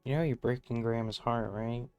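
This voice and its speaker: A man's voice: medium pitch, steady tone, moderate pace, darkish tone, smooth, dry recording. A man giving casual, rambling live commentary as he plays a game on stream. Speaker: livestreamer playing a game. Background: faint game music.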